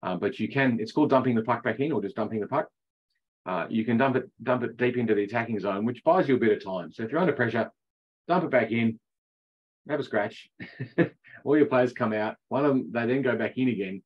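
A middle-aged man with a husky voice talks through hockey rules over a video call, chatty and easygoing. The audio is dull and lo-fi, like a laptop mic. He chuckles now and then at his own lines. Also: mid-range voice, fairly quick. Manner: chatty explainer who laughs at his own jokes. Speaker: ice hockey coach. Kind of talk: online rules session.

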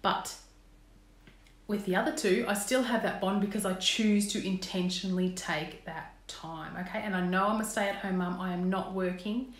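Australian woman, 30s, slightly croaky, earnest coach chatting to camera in a roomy home space. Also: mid-range voice, steady tone, normal speed. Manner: earnest, encouraging, asks viewers questions. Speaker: parenting coach. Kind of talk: casual live-stream talk to viewers. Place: indoors at home, small room. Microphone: phone camera, close framing. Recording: roomy echo in a home room.

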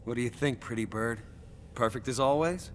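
A man's voice: mid-range voice, moderate pace, slight background noise, close to an everyday voice. Man voicing a sardonic archer rebel. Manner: dry sarcastic.

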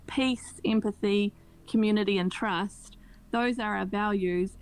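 Female, school staff, interview guest, medium pitch, conversational, earnest explainer. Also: low-quality recording.

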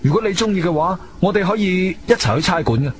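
A man with a Cantonese accent acting a shrewd, firm investigator hero who narrates his own adventure in an expressive audio drama style. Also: high voice, quick pace. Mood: firm, demanding. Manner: expressive, emphatic storytelling. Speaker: narrator and lead actor. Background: background music.

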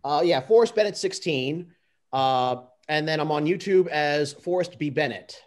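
Man, slightly higher pitch, casual guest, halting, repetitive delivery. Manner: halting, repeats words. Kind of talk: casual chat.

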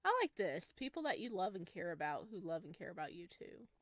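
A woman's voice: fairly low pitch, very animated, brisk, dry recording. A woman doing a visual novel let's play, reading aloud and reacting in a chatty, playful, giggly way. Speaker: game streamer.

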